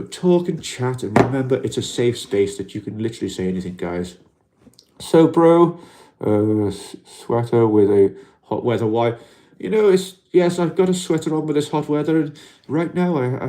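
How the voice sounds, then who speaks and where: Welsh man, mellow reflective livestream host. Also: mid-range voice. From Wales. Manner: gentle, reflective, many "you know" fillers. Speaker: livestream host in an alien costume. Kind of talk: casual livestream chat reading comments.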